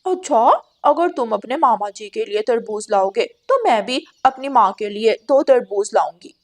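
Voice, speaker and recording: A woman's voice: on the high side, lively intonation, measured pace. A woman voicing a greedy, picky female crow in a cartoon, with a brash delivery. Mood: scheming.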